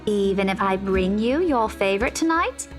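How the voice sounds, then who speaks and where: A woman's voice: mid-range voice, lively intonation, normal speed, music in the background, lightly acted. A woman playing a frank, playful young student in a visual novel, talking casually and candidly. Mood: teasing, pleading. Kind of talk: visual novel game voice acting.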